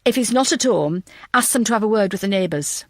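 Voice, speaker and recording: A woman voicing a decisive, supportive female police boss in an audiobook, briskly giving orders. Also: mid-range voice, animated, no room sound, studio quality. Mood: brisk, commanding.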